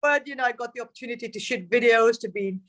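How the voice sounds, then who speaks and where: A woman's voice: a little high, rapid-fire. A middle-aged woman from Zimbabwe, a singer telling her story in a casual interview, reflective and upbeat. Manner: warm, reflective storytelling with laughs.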